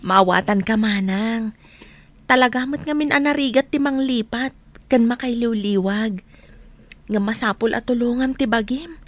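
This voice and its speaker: A woman's voice: fairly high pitch, normal speed, close to an everyday voice. An actress playing a caring younger woman in a radio drama, sympathetic and solicitous, with an Ilocano accent. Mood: concerned. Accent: Ilocano, northern Philippines.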